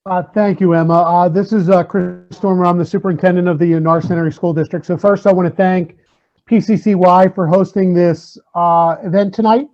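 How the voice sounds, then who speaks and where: A school superintendent addresses an online parents' meeting in a fairly high, soft-edged voice. He is earnest and lays down his points with frequent "uh"s, repeating the numbers that matter. The call audio is dull and lo-fi. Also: fairly even intonation, normal speed. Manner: earnest, many "uh" fillers, stresses key figures by repeating them. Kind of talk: speaking at an online community meeting. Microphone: video call.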